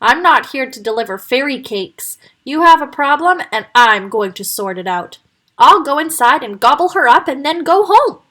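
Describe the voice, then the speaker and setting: A woman voicing a big, bossy male wolf who booms and speaks firmly in a children's story. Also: big pitch swings, a little slow, a bit bright, heavily acted. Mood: blustering. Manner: booming and firm. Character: big bossy male wolf, blustering but good-hearted.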